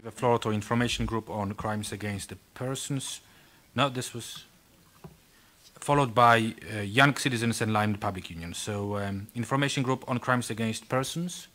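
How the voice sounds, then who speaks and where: Moderator guy at a conference calling names, bright tense voice, lowish. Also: normal speed. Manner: stumbling over names when giving the floor. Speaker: session moderator. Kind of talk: chairing a conference session. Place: large conference hall.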